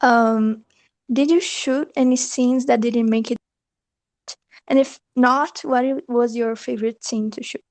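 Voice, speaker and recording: Woman, higher pitch, eager, careful English, dry sound. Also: fairly even intonation, measured pace, darkish tone, no echo. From Brazil. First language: Portuguese. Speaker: fan asking a question.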